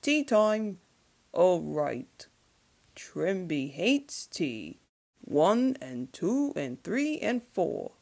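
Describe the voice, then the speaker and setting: A woman's voice: lowish voice, big pitch swings, very slow, vocal fry, darkish tone, exaggerated voice. A woman voicing a jaunty, polite young cartoon man with a mannered way of talking. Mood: composed. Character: jaunty, polite young man who loves trains and tea.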